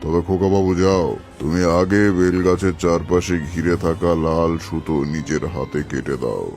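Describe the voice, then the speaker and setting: A grave occult priest and storyteller, played by a man, with a low voice and solemn, measured delivery. It is scripted cartoon horror narration, with music clearly playing behind it. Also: fairly even intonation, darkish tone. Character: grave male tantric priest narrating a ghost tale.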